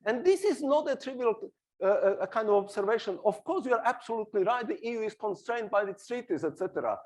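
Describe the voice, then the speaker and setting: Slovak-accented middle-aged man, high voice, argumentative panelist, many fillers. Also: lively intonation, quick pace, darkish tone. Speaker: panel speaker. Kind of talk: panel discussion. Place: small hall on a stage.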